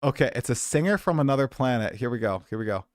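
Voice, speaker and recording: A man's voice: medium pitch, lively intonation, fast talker, no echo, clean studio sound. Chatty twenty-something male streamer. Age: 20s. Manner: chatty, self-deprecating, rambling. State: coming down with a cold. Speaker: streamer host. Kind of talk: casual livestream chat.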